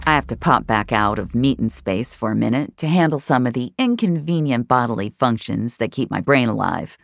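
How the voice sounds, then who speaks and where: An actress playing a sardonic, jaded virtual-reality regular, narrating an audio drama in a wry, conversational, profane way. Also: female voice, a bass voice, expressive, moderate pace, gravelly, no echo, clean studio sound, heavily acted. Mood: jaded, dry.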